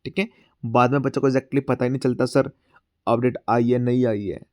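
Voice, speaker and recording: A male current affairs teacher with a sore throat, going through exam quiz questions. He mixes Hindi and English, often says "theek hai", and reads the English question text slowly. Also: medium pitch, steady tone, no echo, clean studio sound. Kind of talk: exam-prep class, explaining quiz questions.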